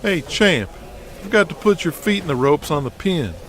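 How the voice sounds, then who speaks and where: A man's voice: fairly high pitch, expressive, moderate pace, fairly bright tone, slight background noise, pitched above the performer's own voice. A man voicing a blunt, sarcastic wrestling backstage boss who scolds in an exasperated way, in an audio drama. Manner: sarcastic, scolding, foul-mouthed.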